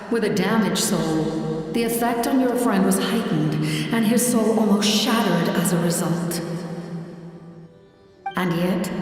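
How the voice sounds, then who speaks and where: Slow, echoing ancient angel. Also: female voice, darkish tone. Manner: solemn, measured, formal. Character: ancient solemn female angel, lord of a spirit realm. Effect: deep otherworldly reverb. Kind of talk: video game voice acting. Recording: echoing long reverb, faint music behind.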